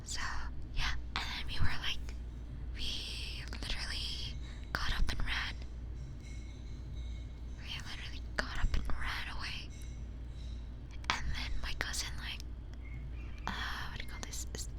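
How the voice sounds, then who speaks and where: A woman streamer chatting casually in a breathy ASMR whisper close to a binaural mic, informal and sweary, often repeating her phrases. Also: unhurried, pauses often. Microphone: close binaural ear microphone.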